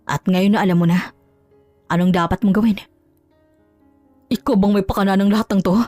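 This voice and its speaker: A woman's voice: mid-range voice, fairly even intonation, a little fast, warm tone, dry recording, natural voice. A woman voicing a cold, powerful male company boss who speaks in a cutting, commanding way. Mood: scornful. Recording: dry, faint music underneath.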